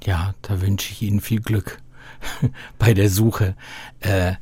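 A man from Bavaria speaking standard High German as an interview guest, thoughtful and reflective, with halting phrasing. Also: level tone, a little mellow, breathy edge, no room sound. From Bavaria, southern Germany. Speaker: guest, theatre festival director.

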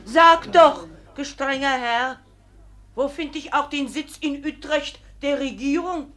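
A woman's voice: high-pitched, slow, a bit bright. An actress playing an indignant, stubborn mother demanding justice, in a theatrical classic radio play style. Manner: insistent, theatrical.

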